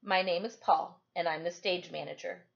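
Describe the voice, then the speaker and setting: A woman's voice: fairly low pitch, fairly even intonation, moderate pace, vocal fry, lo-fi sound, voice pushed down. A woman voicing a friendly, encouraging male stage manager who explains things kindly in a storybook reading. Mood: welcoming, explanatory.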